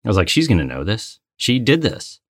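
A man co-hosting a podcast in casual, playful banter, with an excitable, mock-dramatic streak. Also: fairly low pitch, brisk, breathy edge, no room sound, studio quality. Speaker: podcast co-host and trivia game player.

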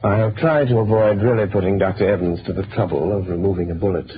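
An actor playing a calm, wry male crime fighter in a 1930s radio drama. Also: deep voice, fast, heavy background noise, close to an everyday voice. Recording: dull, lo-fi 1930s radio recording.

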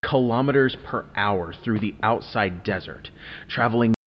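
A man playing a formal, brisk official who presents and questions at a hearing in an audio drama. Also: on the low side, lightly acted. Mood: formal, probing. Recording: dull muffled sound, faint noise.

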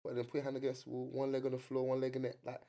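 A young man hosting a casual chat, telling animated stories with slang. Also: mid-range voice, racing. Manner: animated storytelling, British slang. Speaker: host.

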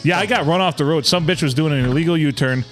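A man's voice: a little high, quick pace, fairly bright tone, soft background music. A man co-hosting a podcast in casual crude banter, laughing often and rambling with lots of swearing. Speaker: podcast co-host.

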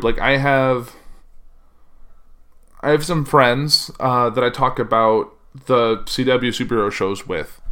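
Rambling man chatting on a podcast. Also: mid-range voice. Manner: rambling, self-correcting, loud voice that carries. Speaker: podcast host. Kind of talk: casual solo chat.

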